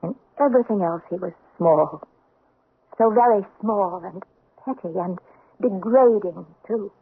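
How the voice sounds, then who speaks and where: Anguished countess, tinny radio drama. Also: female voice, mid-range voice, measured pace, husky. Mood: confessional, bitter. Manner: emotional, dramatic. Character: anguished, refined middle-aged French countess. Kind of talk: vintage radio drama acting. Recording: tinny, noisy old radio recording.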